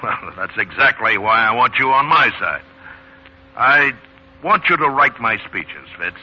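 An actor playing a genial, wealthy older political boss, cajoling and self-assured, in a 1940s radio drama style. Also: male voice, mid-range voice, sing-song, a little slow, slightly rough. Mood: persuasive. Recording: muffled 1940s radio broadcast.

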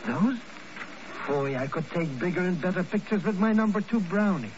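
A man playing a jittery, cowardly comic sidekick in a 1950 radio drama, wheedling and excitable in colloquial speech. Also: high voice, moderate pace, lightly acted. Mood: puzzled, dismissive. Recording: muffled, noisy old radio broadcast.

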